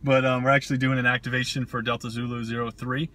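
Man, 30s, ham radio host, joking, bright voice, parked car, dashboard camera. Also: medium pitch, level tone, quick pace, slight background noise. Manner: joking, laughs often. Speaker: amateur radio operator and channel host. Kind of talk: casual chat.

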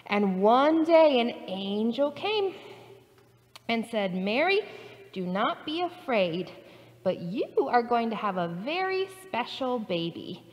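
Woman, pastor, storytelling for preschoolers, slow, very expressive, echoey church, far camera. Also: fairly high pitch. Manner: animated, storytelling to small children. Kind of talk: telling a Bible story to preschoolers. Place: church sanctuary.

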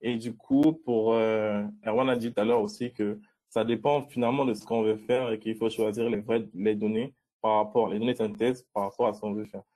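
A man's voice: lowish voice, fairly even intonation, brisk, warm tone, low-quality recording. A man co-presenting at an online meetup, explaining informally and somewhat hesitantly, often repeating himself. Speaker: co-presenter answering questions. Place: video call.

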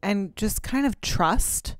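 A woman's voice: medium pitch, animated, takes it slowly, no echo, studio quality. A woman, co-hosting a podcast in casual chat, candid and rambling with casual swearing. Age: 30s. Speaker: podcast co-host. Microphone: close podcast mic.

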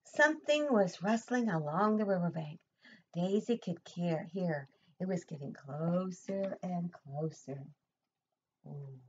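An older woman leads story time for preschoolers as their teacher. She reads slowly and deliberately with a very lively, swinging pitch, pausing for simple questions. The home recording sounds slightly dull. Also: a little hiss or hum. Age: older, 60s or above. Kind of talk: picture-book story time for young children. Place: room at home, indoors.

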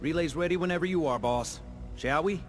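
An actor playing an easygoing, loyal militia mechanic in a video game, talking in a folksy, casual way. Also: male voice, a little high, a little fast, faint music behind, close to an everyday voice. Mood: incredulous, casual.

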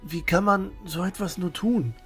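A man playing an earnest, emotional young village hero in a fan-made fantasy audio drama, speaking haltingly. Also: high-pitched, a bit bright, soft background music. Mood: upset. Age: young adult.

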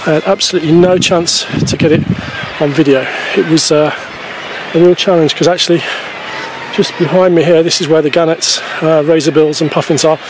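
A man in his forties talking casually to camera as a wildlife photography vlogger, explaining things in a halting way on an outdoor camera microphone. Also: a little high, fairly even intonation, background noise. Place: outdoors on a grassy coastal clifftop. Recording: poor camera-mic sound outdoors, music behind.

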